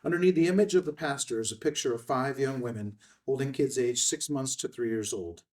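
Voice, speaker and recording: A man's voice: mid-range voice, brisk, a little mellow. A man reading stage directions aloud as the narrator of a screenplay table read. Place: indoor room at a filmed table read. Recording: slightly distant room microphone.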